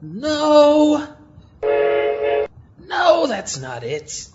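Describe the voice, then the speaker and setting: High-pitched bumbling castaway. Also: male voice, wildly swooping pitch, drawn-out words, full character voice. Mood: bumbling, triumphant. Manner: melodramatic, narrating own mishaps aloud. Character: hapless, bumbling, self-narrating stranded castaway. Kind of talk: exaggerated comic audio drama acting. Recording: dull lo-fi recording with music. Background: music.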